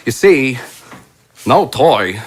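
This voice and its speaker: A man playing a pompous, fussy stuffed animal toy in an improvised comedic audio drama, speaking in deliberate, halting phrases. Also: mid-range voice, big pitch swings, slight background noise. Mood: pompous reasoning.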